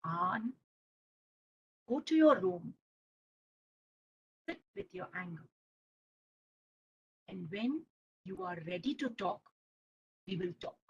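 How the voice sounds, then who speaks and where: A woman's voice: sits high, lively intonation, a little slow, sharp tone, highs cut off, heavily acted. Woman playing a firm, patient storybook grandfather. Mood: calm, stern. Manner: firm, instructing.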